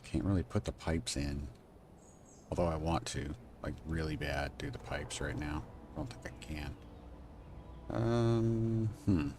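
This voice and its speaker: A man streaming a game from home, thinking aloud and answering chat in a soft, unhurried voice. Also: slight background noise. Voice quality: low, soft, relaxed voice. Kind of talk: casual live-stream gameplay chat.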